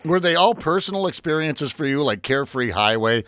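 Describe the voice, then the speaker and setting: A man's voice: fairly high pitch, expressive, a little fast, pushed and bright, no echo. A man co-hosting a radio interview who asks questions in a playful, joking manner. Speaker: radio host.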